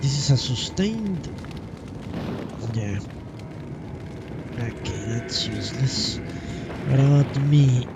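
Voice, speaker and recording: A man's voice: mid-range voice, big pitch swings, slow, long pauses. A man doing casual live video game commentary, muttering as he thinks aloud and casually swearing. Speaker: livestreamer playing a video game. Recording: loud game noise behind voice.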